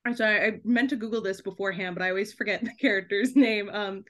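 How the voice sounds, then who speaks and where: A young woman speaks as a guest in a relaxed interview. Her voice sits at a middle pitch, and she talks in an animated, chatty way, often dropping in "like" as she thinks aloud. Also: fast talker. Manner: chatty, animated, frequent "like" and laughing asides. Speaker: podcast guest, debut author. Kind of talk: casual chat interview.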